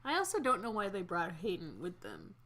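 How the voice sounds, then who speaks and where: A woman's voice: medium pitch, wildly swooping pitch, brisk, a bit bright. A young woman reading a story game aloud with a friend, playful and giggly between lines.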